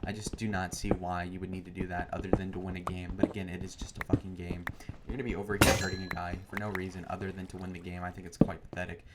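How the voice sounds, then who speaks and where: Low flat-voiced young man, noisy recording. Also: fast talker. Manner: meandering, full of fillers and restarts. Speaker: gaming video creator. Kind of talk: rambling commentary over gameplay. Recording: loud background noise.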